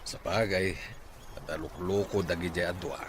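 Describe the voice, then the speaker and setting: A man's voice: low-pitched, fairly even intonation, moderate pace, background noise, natural voice. A man acting a plain-spoken farming father in an Ilocano drama, with an Ilocano accent. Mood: matter-of-fact. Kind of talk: amateur Ilocano radio-style drama acting.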